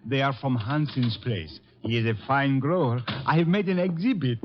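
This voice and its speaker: An actor playing a polite, eager foreign flower-shop owner with stilted phrasing in an old-time radio drama. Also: male voice, mid-range voice, moderate pace, soft background music, lightly acted. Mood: eager, deferential. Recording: muffled vintage radio recording.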